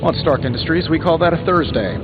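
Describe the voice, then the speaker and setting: An actor voicing a witty, cocky armored superhero inventor in a video game, glib and sarcastic. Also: male voice, a little high, animated, fast talker, natural voice. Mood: playful banter. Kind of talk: video game dialogue acting. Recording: slightly dull game audio under music.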